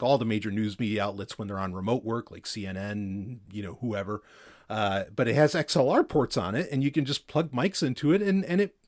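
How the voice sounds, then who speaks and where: A man hosting a podcast from a home setup, talking casually into a mic on an arm, rambling with lots of fillers and restarts. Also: medium pitch. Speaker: podcast host. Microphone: studio mic on an arm.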